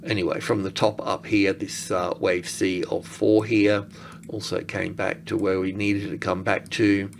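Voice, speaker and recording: A man giving casual, unscripted screen-share commentary as an analyst, halting and thinking aloud as he goes. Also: low voice, flat delivery, gravelly, slight background noise. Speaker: market analyst presenting.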